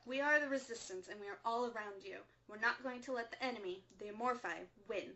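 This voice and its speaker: A woman playing a composed, earnest resistance radio broadcaster in a scripted audio drama, speaking in a measured, solemn way. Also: fairly high pitch, sharp tone, dull audio. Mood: urgent, resolute. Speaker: radio show host.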